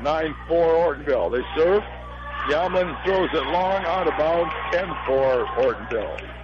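A middle-aged American man with a hoarse, failing voice gives folksy live play-by-play commentary on a local volleyball game in short phrases. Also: on the high side, animated, measured pace. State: hoarse, losing his voice from allergies. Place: high school gym. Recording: dull lo-fi radio broadcast sound.

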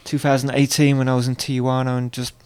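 A man's voice: medium pitch, flat delivery, quick pace, darkish tone, clear, resonant tone. A man from near London with an English accent, chatting easily as a guest singer-songwriter in a radio studio interview. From England, near London. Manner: easygoing, agreeable, many yeahs.

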